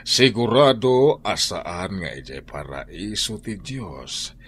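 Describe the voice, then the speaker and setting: A man's voice: low voice, expressive, slow pace, slightly rough, full character voice. An actor with an Ilocano accent playing a stern wealthy husband who lectures his wife in a radio drama. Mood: preaching.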